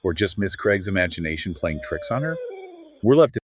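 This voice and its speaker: Male co-host, deep and lively, emphatic and dramatic, conversational book-discussion style. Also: fairly quick, husky, no room sound. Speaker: podcast co-host.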